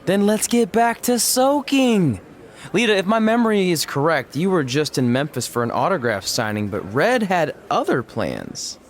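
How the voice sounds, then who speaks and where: High-voiced goofy wrestler buddy. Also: male voice, sing-song, normal speed. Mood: eager, put-upon. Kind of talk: video game cutscene acting. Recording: faint background noise.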